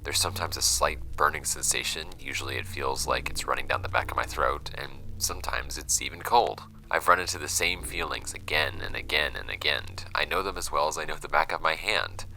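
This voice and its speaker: An actor playing a brooding archivist who records notes on strange case files in a horror audio drama, measured and hesitant, with pauses mid-sentence. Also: male voice, a bass voice, bright, forceful tone, a little hiss or hum, full character voice, poor audio. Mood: weary, uneasy.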